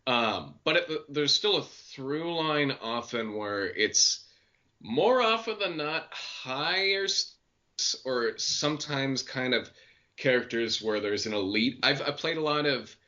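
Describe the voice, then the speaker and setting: A man in his twenties with a deep voice, a voice actor guest chatting casually and laughing easily. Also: slow. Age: 20s. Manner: relaxed, self-deprecating, laughs easily. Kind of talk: casual podcast interview. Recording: dull lo-fi podcast audio.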